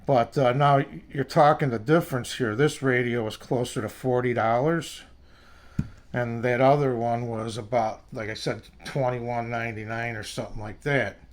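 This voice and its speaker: A man's voice: mid-range voice, fairly even intonation, moderate pace. A man casually reviewing and demonstrating a product in a rambling, folksy way. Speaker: radio reviewer. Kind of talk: casual hands-on product demo.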